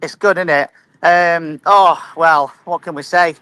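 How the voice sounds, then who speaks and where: A man's voice: a little high, steady tone, unhurried, sharp tone, smooth, dry recording. A man calling in to a fan show, emphatic, often stumbling and repeating words. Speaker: caller. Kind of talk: casual fan chat.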